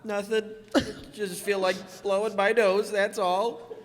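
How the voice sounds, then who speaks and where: A man's voice: high voice, flat delivery, slow pace. A man voicing a teenage son in a live old-time radio play, breezy and offhand. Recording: live stage recording in a hall.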